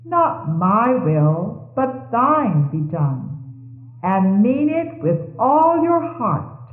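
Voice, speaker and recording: A woman reading religious messages aloud in a solemn, measured and formal manner, on a 1970s tape. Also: a little high. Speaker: religious reader. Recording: 1970s tape, thin phone-like band, echoey room.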